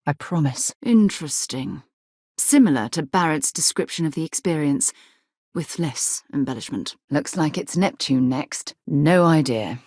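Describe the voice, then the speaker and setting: Low-voiced, earnest woman space explorer. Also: lively intonation, a little slow, darkish tone, dry recording, studio quality. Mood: composed, thoughtful. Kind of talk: video game character dialogue.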